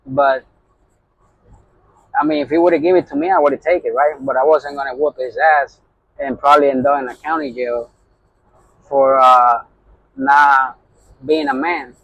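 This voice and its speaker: A man's voice: medium pitch, fairly even intonation, moderate pace, pauses often, a bit bright, highs cut off. A middle-aged man with a Puerto Rican accent, a truck driver telling a heated story in a casual vlog, with constant fillers. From New York. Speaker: owner-operator truck driver.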